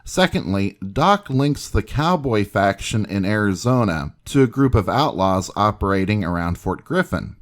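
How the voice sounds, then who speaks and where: A man hosting a history video, reading scripted documentary narration. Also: fairly low pitch.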